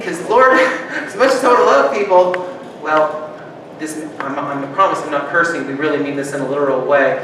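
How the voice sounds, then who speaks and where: Male pastor preaching, higher voice, conversational and joking, big echoing church room, distant mic. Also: brisk, few pauses. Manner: swings from loud rousing calls to soft hushed reflection. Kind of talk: sermon. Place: church sanctuary. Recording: distant mic, strong room echo, background noise.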